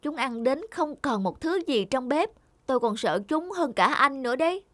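A woman's voice: sits high, expressive, normal speed, fairly bright tone, dry recording. A woman voicing a small, timid, earnest cartoon mouse with a hesitant, pleading manner. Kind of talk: animated moral fable dubbing. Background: music.

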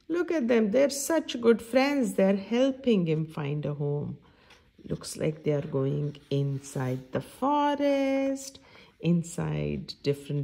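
A middle-aged woman reads a picture book aloud to young children. Her pitch swings widely in a sing-song, coaxing way, and she takes her time. There is some gravelly fry in her voice as she asks questions and comments on the pages. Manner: animated, sing-song, coaxing teacher-like delivery. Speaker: children's story-time host. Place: small indoor room at home.

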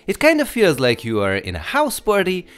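A man's voice: high voice, wildly swooping pitch, moderate pace, fairly bright tone. A man with a Hungarian accent reading a scripted travel guide voice-over. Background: music.

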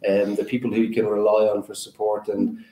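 A man's voice: lowish voice, monotone, fairly quick, mellow. A man moderating a webinar panel conversationally. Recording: roomy, echoey sound.

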